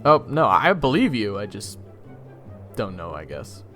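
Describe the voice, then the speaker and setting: A man playing a young army private in a fantasy audio roleplay, casual, halting and dryly sarcastic. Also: lowish voice, big pitch swings, pushed and bright, faint music behind, exaggerated voice. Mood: deadpan. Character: young army private hero, unimpressed, cheeky and deadpan. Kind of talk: fantasy audio roleplay acting with first-person narration.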